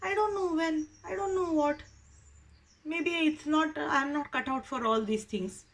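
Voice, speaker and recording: A woman with an Indian accent voicing a frustrated young boy pupil in a story, plaintive and halting. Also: high-pitched, voice pushed up. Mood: frustrated, pleading.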